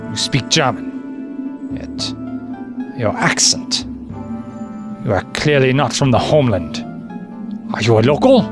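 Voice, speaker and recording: An actor playing a suspicious, contemptuous German army sergeant who barks curt commands in an audio drama. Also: male voice, mid-range voice, expressive, a little slow, long pauses, close to an everyday voice. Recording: music under the voice.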